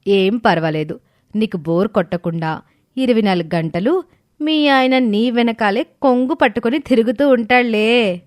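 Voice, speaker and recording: A woman's voice: a little high, moderate pace, no room sound, lightly acted. Woman as a bold young sister, dry sound. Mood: teasing. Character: sharp-witted, bold young sister of the bride. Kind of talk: cartoon family drama acting.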